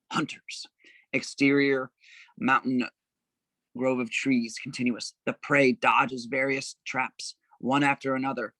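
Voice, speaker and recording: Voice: mid-range voice, pushed and bright, dry recording, poor audio. A narrator reading out scene descriptions and stage directions at an informal script table read.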